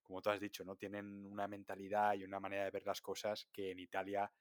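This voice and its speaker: A man from Spain with a Spanish accent hosting an interview podcast, calmly affirming and thanking his guest. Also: lowish voice, fast, no echo, studio quality. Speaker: podcast host. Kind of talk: interview host.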